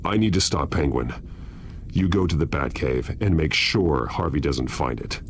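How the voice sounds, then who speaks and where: A grim masked crimefighter in a video game. The voice is extremely low, and the delivery terse, stern and commanding. There is clear ambient noise behind it. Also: male voice, animated, moderate pace. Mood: resolute. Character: grim, commanding male masked vigilante hero.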